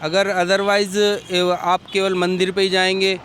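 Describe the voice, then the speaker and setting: Man, high voice, argumentative, reasoning, faint street noise. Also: completely flat delivery, slow pace, fairly bright tone. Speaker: passerby giving opinion. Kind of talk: street interview. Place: outdoors by a busy street.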